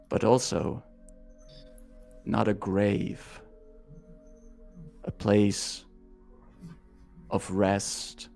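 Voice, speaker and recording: Male tabletop game master, deliberate storyteller, slightly low pitch, very unhurried delivery. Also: creaky. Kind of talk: narrating a tabletop roleplaying game.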